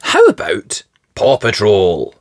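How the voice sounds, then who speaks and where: A storybook reader narrating for young children in an expressive, theatrical, emphatic way. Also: mid-range voice, slow, no room sound, studio quality. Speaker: storybook reader, channel host. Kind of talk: animated children's read-aloud narration.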